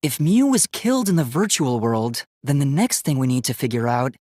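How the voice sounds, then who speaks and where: Casting: male actor as young male robot student for a video game. Earnest, courteous, precise, easily flustered, animated mid-range pitch, dry studio sound. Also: normal speed, dry recording, close to an everyday voice. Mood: earnest, logical. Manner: formal, precise, stiffly logical wording.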